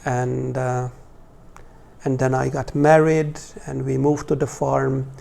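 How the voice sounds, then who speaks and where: A man in his forties with a Hungarian accent, talking informally to a small religious class in a hesitant, halting way with pauses. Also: mid-range voice, level tone, creaky, dark tone. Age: 40s. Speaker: religious teacher.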